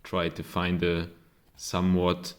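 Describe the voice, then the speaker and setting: A man's voice: deep voice, completely flat delivery, takes it slowly. A man from Germany with a German accent, a non-native speaker, casually showing his own circuit board, hesitant with many ums and self-corrections. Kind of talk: casual show-and-tell.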